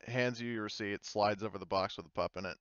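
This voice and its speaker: A man's voice: on the low side, fast talker, fairly bright tone, smooth, no echo, muffled recording. A man acting as game master, informally narrating and explaining an online tabletop roleplaying game with hesitant phrasing.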